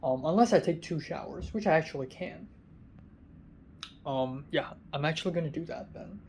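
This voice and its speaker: Young male vlogger, worn-out and raspy, voice a touch high, chatty and halting, faint noise behind. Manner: rambling, halting with many ums, drifting softer and louder. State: tired, raspy voice. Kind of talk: casual rambling vlog monologue. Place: quarantine hotel room.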